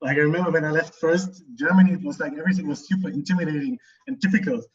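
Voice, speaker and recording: A man from South America interviewing casually, chatty and quick to laugh. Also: a little high, distant mic, dull audio.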